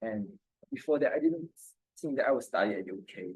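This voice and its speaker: Halting husky man, Mandarin native. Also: fairly low pitch, lively intonation, a little mellow, low-quality recording. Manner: halting, with restarts and simple phrasing. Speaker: student panelist. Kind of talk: casual panel discussion.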